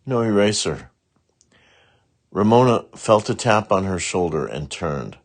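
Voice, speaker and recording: A man's voice: fairly low pitch, sing-song, a little slow. A man narrating a children's storybook expressively, with dramatic emphasis on words. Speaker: children's book read-along narrator.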